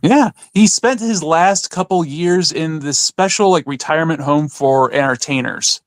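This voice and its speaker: A man's voice: on the high side, a little slow, no echo, clean studio sound. A man co-hosting a podcast in casual chat, with an informal, wry way of talking. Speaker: podcast co-host.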